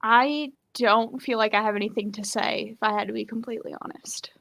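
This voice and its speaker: A woman's voice: fairly high pitch, steady tone, fairly quick, gravelly, fairly bright tone. A woman co-hosting a casual book discussion on a live stream, giving blunt, informal opinions. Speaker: co-host of a book readalong live show.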